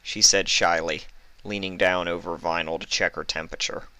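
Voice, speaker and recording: Low-pitched man reading a story. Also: steady tone, moderate pace, pushed and bright, no echo. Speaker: fan fiction reader. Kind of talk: scripted story narration.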